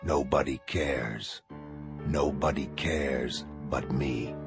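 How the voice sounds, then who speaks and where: Very deep, grim, gravelly masked vigilante. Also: male voice, takes it slowly, full character voice. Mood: grim, deadpan. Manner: terse clipped fragments, deadpan. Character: grim male masked vigilante, cold and bitter. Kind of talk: dark noir motion-comic narration and dialogue. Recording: loud music under the voice. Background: loud music.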